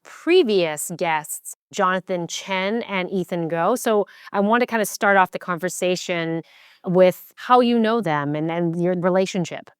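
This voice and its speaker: A woman's voice: lowish voice, measured pace, clean tone, no echo, studio quality. A woman hosting a podcast, asking guests questions in a conversational interview style. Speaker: podcast host.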